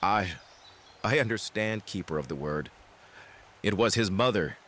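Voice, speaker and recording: Man playing devout dad, audio drama, unhurried, animated pitch, deferential. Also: a little hiss or hum. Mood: earnest. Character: earnest, deferential father, devout follower.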